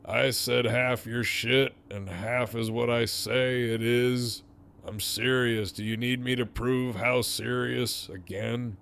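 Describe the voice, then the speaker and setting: Man as menacing male gang leader for audio drama: unhurried, gravelly, mocking and profane. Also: medium pitch, steady tone, fairly bright tone. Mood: mocking menace. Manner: taunting, theatrical, drawn-out delivery.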